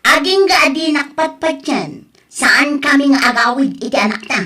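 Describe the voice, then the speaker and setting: A woman playing a resentful, nagging wife and mother in an Ilocano radio drama, sharp-tongued and accusing. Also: sits high, expressive, normal speed.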